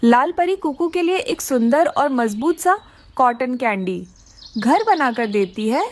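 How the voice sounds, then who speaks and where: A woman's voice: high-pitched, animated, a little slow, a little hiss or hum. A woman narrating a scripted children's story.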